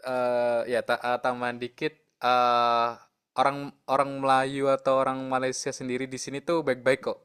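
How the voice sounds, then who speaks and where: A man with a Javanese accent shares his experience in a relaxed, chatty way. He stops often to search for words. His voice is smooth and resonant. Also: mid-range voice, moderate pace. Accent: Javanese Indonesian. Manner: hesitant, many filler sounds, relaxed chatty. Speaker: exchange program speaker. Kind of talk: casual online sharing session.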